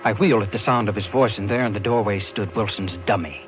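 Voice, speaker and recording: An American actor playing a determined talent agent who narrates, emphatic and hard-boiled, in a 1950s radio drama. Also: male voice, fairly low pitch, fast talker, soft background music, natural voice, rough recording. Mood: hard-boiled narration. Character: determined, brisk New York talent agent man, narrator. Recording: hissy old radio recording.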